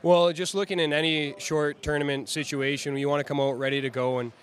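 A young man, a hockey player, answering post-game interview questions quickly and with many restarts, into handheld reporters' mics at an indoor media backdrop. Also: fairly high pitch. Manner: fast, even, with many restarts. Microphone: handheld reporters' mics, close.

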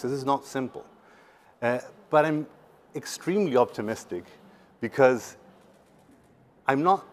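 A man around forty, speaking earnestly and emphatically in a conversational conference talk. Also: medium pitch. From Patagonia, Chile. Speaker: startup representative, panel speaker. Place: seated on a conference stage indoors.

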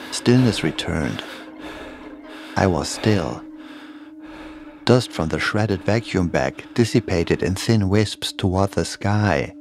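A man reading a scripted narration as the narrator of a horror podcast. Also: on the low side.

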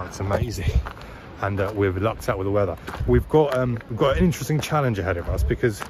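A man, chatting enthusiastically as he films himself at lakes and while driving. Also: lowish voice. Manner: chatty, enthusiastic, many fillers and restarts. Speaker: travel and car vlogger. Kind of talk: casual vlog narration. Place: outdoors by lakes and in a car. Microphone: handheld camera at arm's length. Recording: loud outdoor and car noise.

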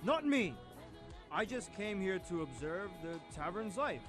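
A student actor playing a proud father of high standing who is weighed down by guilt, in a live audio drama. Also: big pitch swings, unhurried. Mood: defensive. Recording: music behind, live radio studio.

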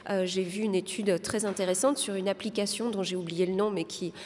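A woman in her thirties making her case as a panelist in a televised debate, speaking into a desk microphone, articulate but pausing often to find her words. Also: mid-range voice, steady tone, darkish tone, a little hiss or hum. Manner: articulate, persuasive, frequent hesitations. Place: large studio hall with audience. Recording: long reverb of a large hall.

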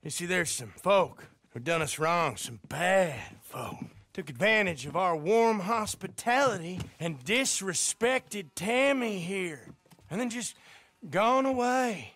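A creepy backwoods farmer in a western game, high and lilting with a slow, leering drawl. He giggles through his lines, and the voice sounds dry and close. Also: lively intonation, fairly bright tone, no echo, heavily acted.

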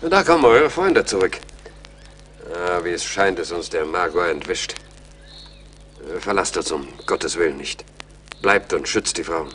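An actor playing a courteous, earnest English army major in a radio play, speaking in a formal manner. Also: male voice, low voice, expressive, measured pace, pauses often, fairly bright tone, slight background noise. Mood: pleading. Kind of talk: German radio play acting.

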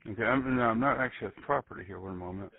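A husky-voiced man handles a customer service call over a tinny phone line. He talks quickly in a polite, businesslike way, with lively intonation. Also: fairly low pitch. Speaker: hotel phone agent. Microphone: telephone.

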